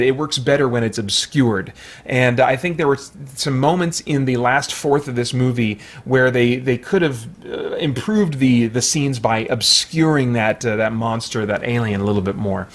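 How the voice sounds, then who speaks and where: Casual, thoughtful man reviewing films. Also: mid-range voice. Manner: conversational, hesitant and thoughtful, self-qualifying. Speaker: host of a film review channel. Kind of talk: casual unscripted movie review.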